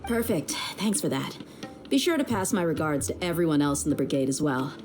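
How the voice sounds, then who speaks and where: A woman's voice: low-pitched, animated, brisk, darkish tone, faint music behind. An actress playing a tough, frank female mercenary leader in a game, easygoing and teasing. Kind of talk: video game cutscene dub.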